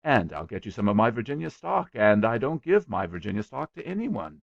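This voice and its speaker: A man's voice: fairly low pitch, very animated, a little fast, creaky, no room sound, lo-fi sound, heavily acted. A man voicing a stubborn, boastful small boy who chatters eagerly. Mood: eager boasting.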